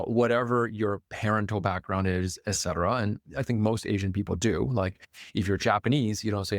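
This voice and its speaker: A man's voice: on the low side, no echo, clean studio sound. A man born in Taiwan, hosting a solo podcast in a casual, conversational way with many fillers and restarts. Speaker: podcast host and author.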